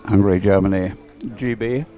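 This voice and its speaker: A man's voice: on the low side, steady tone, normal speed, music in the background. A man giving live race commentary in a conversational, hesitant way, thinking aloud as he goes. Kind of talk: live sports commentary, unscripted. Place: commentary room overlooking the course.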